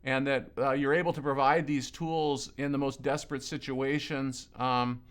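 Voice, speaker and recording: Guy from lebanon interviewing someone, clean resonant voice, earnest, kinda halting. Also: mid-range voice, flat delivery, a bit bright, clear, resonant tone. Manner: earnest, halting with repeated words. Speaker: ministry leader interviewing a colleague. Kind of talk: conversational interview.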